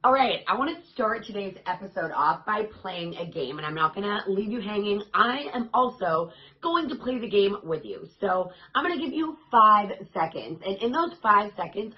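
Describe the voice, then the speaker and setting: A woman fitness coach talking casually to her viewers, her voice raspy and hoarse, in an upbeat, chatty and encouraging way. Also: mid-range voice, highs cut off. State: hoarse, voice worn out. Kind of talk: casual vlog talk to camera.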